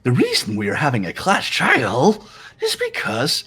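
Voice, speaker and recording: A man's voice: mid-range voice, huge pitch swings, measured pace, sharp tone, slightly rough, heavily acted. A man voicing a mocking, officious robotic bear for a fan-dubbed video game, in a theatrical, mock-polite manner. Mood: officious, smug. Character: gleeful, mocking robotic bear overseeing a deadly trial. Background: game music.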